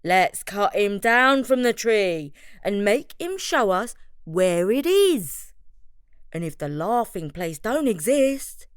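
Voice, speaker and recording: Scheming, stubborn jungle bear female storyteller, folksy and emphatic, unhurried, in a close dry recording. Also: fairly high pitch, clean tone, no room sound, pitched above the performer's own voice.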